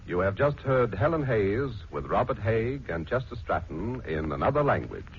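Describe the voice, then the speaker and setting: Male radio announcer, low pitch, 1940s style, music behind, muffled old recording. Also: expressive, moderate pace.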